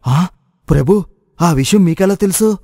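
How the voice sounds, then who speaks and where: A naive village cowherd acted by a man with a fairly high male voice. He speaks in excitable exclamations and questions, as in a moral story. A long reverb hangs on the recording. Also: unhurried, clean studio sound. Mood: respectful, bewildered. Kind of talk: Telugu moral story voice acting.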